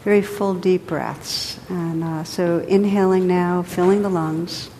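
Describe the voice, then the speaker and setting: A woman teaching a guided meditation, speaking gently and unhurriedly in short phrases with pauses between them. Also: fairly even intonation. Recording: echoey hall, rumbly background noise.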